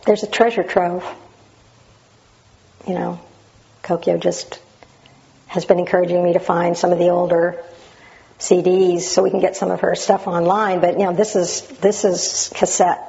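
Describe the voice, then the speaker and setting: A woman with a naturally loud voice reading a passage dramatically with up-and-down intonation, then sharing her memories in a reflective way. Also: lowish voice, flat delivery, measured pace, creaky, long pauses, muffled recording. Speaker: Zen practitioner sharing memories of a teacher.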